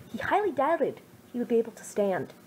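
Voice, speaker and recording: A woman's voice: on the high side, very animated, a little fast, a little hiss or hum. A woman in her twenties, a streamer reading her own old fan fiction with self-mocking, over-the-top jokes. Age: 20s. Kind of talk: casual chat then dramatic story reading.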